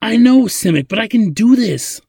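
Casting: adult male narrator as sheepish boy whiz, higher pitch, eager, pleading, hesitant, storybook drama. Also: big pitch swings, normal speed, no room sound, studio quality.

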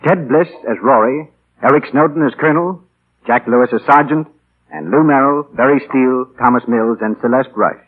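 A man reads credits as a formal old-time radio announcer. His voice is medium-pitched and husky. The recording is narrow and dated. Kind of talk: formal scripted 1940s announcing. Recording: thin old radio broadcast.

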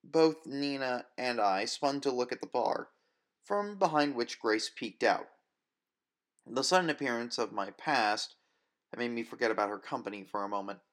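A man's voice: medium pitch, animated, normal speed, a bit bright. A man reading first-person fiction as a dramatic, scripted audiobook narration.

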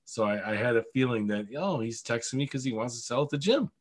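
A man chatting casually on a podcast, animated and asking questions eagerly. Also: mid-range voice, fast. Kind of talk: casual chat.

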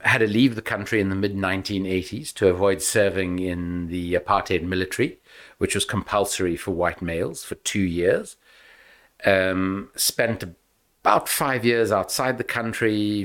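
An older man with a South African accent, speaking as a podcast guest in a measured, emphatic way. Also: sits low. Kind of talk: podcast interview.

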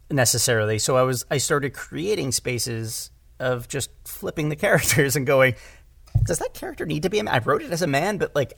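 A man's voice: mid-range voice, gravelly, fairly bright tone, no echo, studio quality. A man talking casually as a podcast guest about his work as a writer and director, in a rambling way, repeating words for emphasis. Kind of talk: casual conversational discussion.

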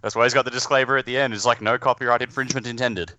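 A man talking casually as a podcast guest, in a halting, informal way about his amateur acting. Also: medium pitch, steady tone, pushed and bright, dry recording, lo-fi sound. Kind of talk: casual chat.

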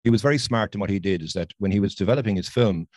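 Fast-talking Irish man, dry sound. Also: on the low side, a little mellow, no room sound. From Ireland. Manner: talkative, enthusiastic. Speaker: podcast guest, photographer. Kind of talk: conversational interview. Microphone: video call.

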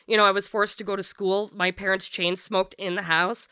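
A woman in her thirties with a deep voice, talking frankly and bluntly as a podcast guest, swearing freely and using lots of fillers. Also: level tone, brisk, pushed and bright, clean tone, dry recording, studio quality. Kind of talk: casual candid conversation.

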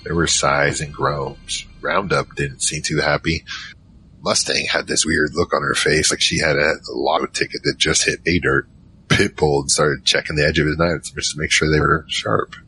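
Naive young man narrating his own adventure male actor, bottom-register yet bright, halting and informal, audio drama. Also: a bass voice, little pitch movement, soft background music, heavily acted, rough recording. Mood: recounting, casual.

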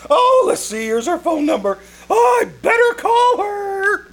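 A man playing a flustered, excitable rental agent who stammers and repeats himself in an old-time radio comedy. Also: extremely high pitch, a little slow, exaggerated voice.

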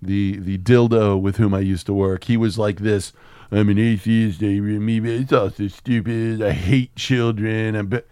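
A man's voice: fairly low pitch, steady tone, measured pace, mellow, clean tone, dry recording, clean studio sound. A man chatting casually as a podcast co-host, rambling through stories with plenty of swearing. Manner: crude, swearing, rambling storytelling. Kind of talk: casual chat about a film.

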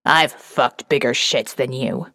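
Woman putting on a gruff, low-voiced male tough guy. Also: steady tone, slow pace, bright, forceful tone, long reverb tail, exaggerated voice. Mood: gruff, hostile. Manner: gruff, insulting. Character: crude, menacing, foul-mouthed tough male constable. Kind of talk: quoted insults in audio novel.

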